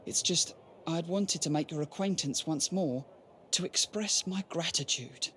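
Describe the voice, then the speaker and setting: An actor playing a humble young merchant in an audio drama, speaking with polite, formal deference. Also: male voice, on the high side, unhurried, sharp tone, slight background noise. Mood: grateful, respectful. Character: humble, courteous, self-assured young male merchant. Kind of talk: video game audio drama acting.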